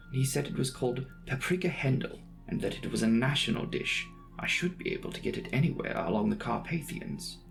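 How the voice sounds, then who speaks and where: A man's voice: mid-range voice, creaky. A man voicing an earnest, inquisitive young traveller reading out his journal in a precise, matter-of-fact way for a dramatic audiobook. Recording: music behind the voice.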